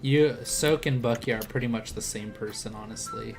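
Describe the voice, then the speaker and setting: A man's voice: mid-range voice, moderate pace. A young man giving a casual, encouraging live art critique in an informal way as a streamer. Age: young adult. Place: indoor home desk setup. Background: music behind the voice.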